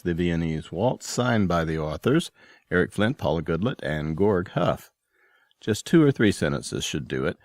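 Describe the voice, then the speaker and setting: A man's voice: deep voice, a little mellow, no room sound. A man announcing a listener contest in a promotional podcast segment.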